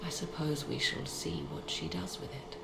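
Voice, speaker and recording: A proud, guarded sorceress from a fantasy video game. Her voice is extremely low and swings widely in pitch as she speaks in formal, deliberate phrases. The recording is echoey and noisy. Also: sing-song, creaky, full character voice. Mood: grave, troubled. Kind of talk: dramatic video game dialogue.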